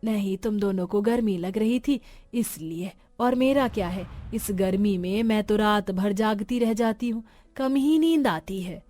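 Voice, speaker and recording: A poor old village mother, acted by a woman in a cartoon tale. She is long-suffering and loving, and speaks in a plaintive, pleading way over soft music. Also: mid-range voice, unhurried, lightly acted. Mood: tender.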